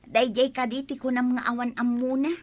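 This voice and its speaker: A woman's voice: high-pitched, flat delivery, a little fast, a little mellow. An actress in a comedy radio drama playing a feisty, complaining village woman who argues back. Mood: indignant.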